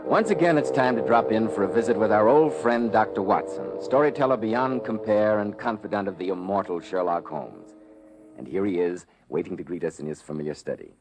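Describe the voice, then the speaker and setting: A man's voice: lowish voice, a little fast, over music, rough recording. A man announcing in polished, chummy 1940s radio salesman style. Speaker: announcer and sponsor pitchman. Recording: vintage 1940s radio recording.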